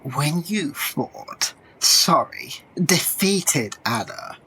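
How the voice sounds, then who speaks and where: A shrewd, tactful warrior played by a woman in a fantasy audio drama. The voice is low and husky, moving slowly and thoughtfully with expressive turns of pitch. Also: exaggerated voice, poor audio. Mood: probing. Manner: hesitant, thoughtful.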